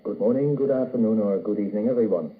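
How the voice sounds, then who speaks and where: A man reading a formal, scripted shortwave station announcement in a measured way as a radio announcer, on an old off-air recording. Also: lowish voice, phone-quality sound.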